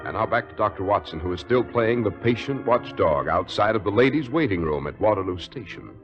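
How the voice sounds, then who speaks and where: A male announcer doing smooth vintage radio ad patter and story links. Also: fairly low pitch, expressive, fairly quick, dull audio, faint music behind.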